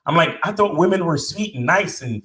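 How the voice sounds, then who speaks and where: A middle-aged man from Brooklyn with a bright, forceful voice, heard in a roomy space. He talks in a relaxed, humble way, with plenty of fillers and restarts. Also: on the high side, brisk. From born in Jamaica, raised in Brooklyn, New York. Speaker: podcast guest, dating coach. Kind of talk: casual interview chat.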